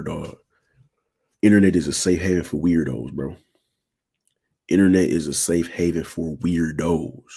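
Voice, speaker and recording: A young man in his twenties with a low, raspy voice, a little strained from a sore throat. He talks to his livestream viewers in loose, slangy asides and keeps checking they follow. His tone is dark and mellow. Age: 20s. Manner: slangy, keeps asking "you feel me". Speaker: channel host. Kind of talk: casual livestream talk to viewers.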